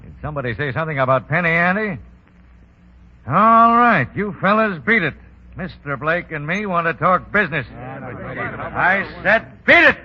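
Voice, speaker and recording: An actor playing a gruff, short-tempered crime boss in a 1930s radio drama. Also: male voice, fairly high pitch, expressive, a little slow. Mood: angry, barking. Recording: dull, hissy 1930s radio broadcast.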